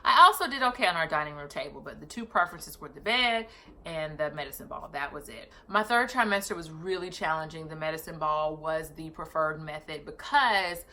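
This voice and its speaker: A woman's voice: deep voice, expressive, brisk, bright, forceful tone, slight background noise. A woman in her thirties telling a personal story on her vlog, chatty and casual, often correcting herself mid-sentence. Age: 30s. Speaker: vlogger.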